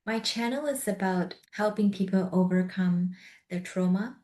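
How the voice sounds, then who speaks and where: A woman hosting a podcast interview over a video call, speaking gently and softly with occasional nervous laughs. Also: mid-range voice, normal speed. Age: adult with a young son. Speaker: podcast host. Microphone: video call recording.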